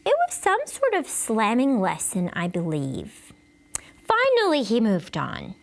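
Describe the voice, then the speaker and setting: A woman reading a children's book aloud in an expressive, theatrical storytelling manner. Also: medium pitch, unhurried, creaky. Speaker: storybook reader. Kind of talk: animated children's book read-aloud in a child narrator's words.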